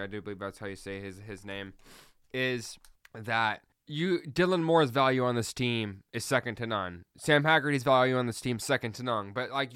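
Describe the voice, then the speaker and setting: An excitable man hosts his own podcast, rushing ahead and restarting sentences as his thoughts outpace his words. His voice is smooth and clear at a medium pitch. The sound is dry and close, with no room around it. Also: no room sound. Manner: fast, excitable, many restarts. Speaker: podcast host. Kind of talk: solo sports podcast talk.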